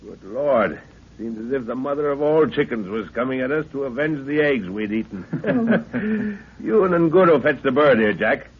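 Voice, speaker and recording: A man's voice: medium pitch, lively intonation, moderate pace, husky. An actor playing a middle-aged explorer father, curious, authoritative and given to explaining, in a 1930s radio serial. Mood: brisk, commanding. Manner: explanatory, exclaiming, commanding. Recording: dull 1930s radio recording.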